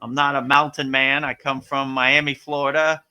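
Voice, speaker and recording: A man hosting a live spiritual question-and-answer session, talking in a relaxed, rambling way with many fillers. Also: medium pitch, normal speed, smooth. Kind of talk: casual spiritual Q&A.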